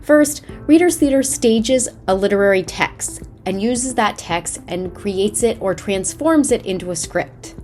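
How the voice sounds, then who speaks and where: A woman narrating a scripted lesson as a drama instructor, measured, explanatory and carefully enunciated. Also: mid-range voice, animated, a little mellow. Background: background music.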